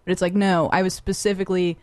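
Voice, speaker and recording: A woman with a somewhat low voice who talks earnestly, explaining her view in quick bursts. The recording is close and dry. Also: level tone, darkish tone, no room sound. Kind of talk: casual political chat.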